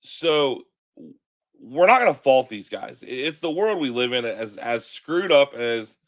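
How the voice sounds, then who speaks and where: A man hosting a casual sports podcast, sounding stuffy from a cold, chatting in a relaxed way. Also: mid-range voice, slow. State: stuffy, congested. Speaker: podcast host.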